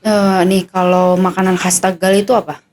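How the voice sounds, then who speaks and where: A young woman hosts a relaxed podcast chat with a cadet guest. She is chatty and curious and keeps the talk moving with eager follow-up questions. Her voice sits at an ordinary middle pitch for a woman. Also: normal speed, slight background noise. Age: young adult. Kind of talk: casual podcast interview.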